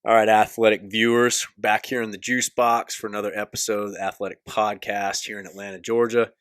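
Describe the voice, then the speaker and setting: Laid-back low-voiced podcast host. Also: male voice, flat delivery, a little fast, a bit bright, no room sound, clean studio sound, soft background music. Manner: laid-back, filler-heavy questions. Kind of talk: casual interview hosting.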